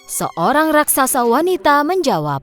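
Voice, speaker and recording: A woman's voice: high-pitched, very animated, a little slow, soft background music. A woman narrating a scripted fairy tale for children.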